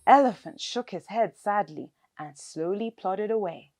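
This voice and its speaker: A woman storyteller narrating a children's tale in an expressive, scripted read. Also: medium pitch, measured pace, faint music behind.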